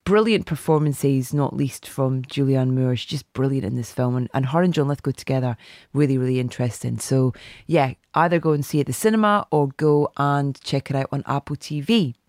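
A woman hosting a podcast, hoarse and croaky today, chatting enthusiastically with plenty of ums and asides. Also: rumbling low, a little fast, a little mellow, no room sound, clean studio sound. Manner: chatty, enthusiastic, lots of fillers. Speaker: podcast host. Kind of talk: casual podcast intro.

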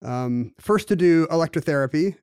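A man co-hosting a podcast in casual banter, quick and curious, explaining facts with jokes. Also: a little high, animated, darkish tone, clear, resonant tone, no room sound, clean studio sound. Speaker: podcast co-host.

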